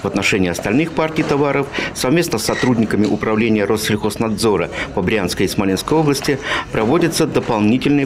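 A man narrating a scripted news report in formal official language. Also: lowish voice.

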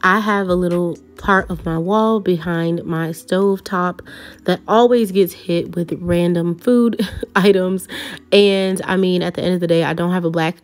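A young woman talks through her kitchen cleaning in a relaxed, chatty way, laughing lightly now and then. Her voice sits a little low for a woman. Faint music plays underneath. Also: unhurried. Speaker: vlogger. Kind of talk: casual clean-with-me voice-over.

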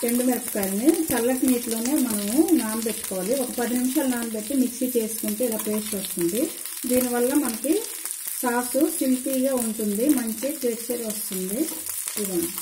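An older woman giving homely, unhurried step-by-step instructions at her kitchen stove. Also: a little high, warm tone, a little hiss or hum. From India. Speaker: home cook. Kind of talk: cooking demonstration voice-over. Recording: echoey kitchen with sizzling pan. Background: frying and sizzling.

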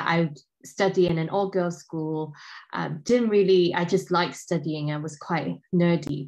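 A woman in her thirties from Hong Kong, giving a casual talk with slides over a video call, with frequent ums and restarts. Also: lowish voice. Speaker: guest speaker.

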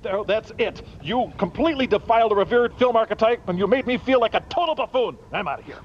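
An actor playing a vain, indignant little male robot on a comedy show, blustering and smooth-talking. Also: sits high, lively intonation, quick pace. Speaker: show regular. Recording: dull lo-fi TV recording with faint hiss.

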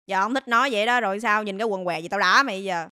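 A woman's voice: mid-range voice, racing, pushed and bright, clean tone, no room sound, close to an everyday voice. A woman voicing a small, mischievous cartoon rabbit, cheeky and nonchalant, with a southern Vietnamese accent. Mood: cheeky annoyed. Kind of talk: animated comedy dialogue. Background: music.

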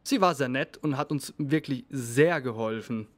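A man's voice: mid-range voice, moderate pace, no echo, close to an everyday voice. A man playing a skeptical young student who questions his friend's plans. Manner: doubtful, questioning.

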